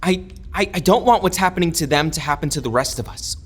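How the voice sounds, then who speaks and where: A man playing an anguished young man haunted by a dark power, halting and pleading, with flashes of anger, in an audio drama. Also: a little high, slightly rough. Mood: troubled.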